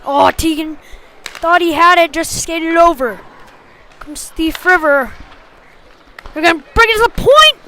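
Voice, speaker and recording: A woman calling a youth hockey game live in short, clipped phrases, getting excitable on big plays. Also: very high-pitched, measured pace, pauses often, background noise. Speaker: play-by-play hockey commentator. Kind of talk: live sports commentary.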